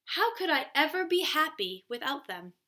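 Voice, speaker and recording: A woman's voice: high voice, sing-song, moderate pace, sharp tone, voice pushed up, exaggerated voice. A woman voicing a vain, dramatic male rainbow fish who is reluctant to share, in a children's storybook. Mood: indignant.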